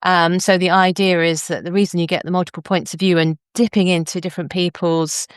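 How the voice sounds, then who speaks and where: Smooth-voiced woman hosting, low-pitched. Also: level tone, a little fast, dry recording, clean studio sound. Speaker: podcast host; novelist. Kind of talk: literary discussion in conversation.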